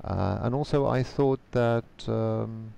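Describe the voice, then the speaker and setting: A man whose first language is Polish answers interview questions carefully over a video call, pausing often with fillers. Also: lowish voice, creaky, mellow, clean tone. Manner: measured, careful, frequent hesitation fillers. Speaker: guest, conference interpreter.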